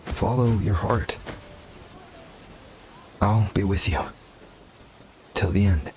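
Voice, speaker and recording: Low-voiced, steadfast companion. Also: male voice, slow, darkish tone, a little hiss or hum. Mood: tender, reassuring. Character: steadfast, reassuring male companion. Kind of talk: video game character acting.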